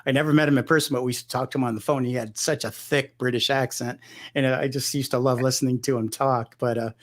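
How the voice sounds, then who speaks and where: A man's voice: medium pitch, fairly even intonation, fast. A middle-aged man from Michigan, a guitarist guest in an interview, talking in a loose, rambling way with many restarts. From Michigan, United States. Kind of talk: relaxed conversational interview.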